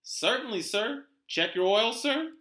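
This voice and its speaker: Man playing a chatty gas station attendant, folksy drawl of speech, incredulous, lively swings, roomy noisy recording. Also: very high-pitched, voice pushed up, full character voice. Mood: folksy, polite. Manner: colloquial, drawn-out country talk. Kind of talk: dramatic read-aloud of a radio play. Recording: roomy echo, clear background noise.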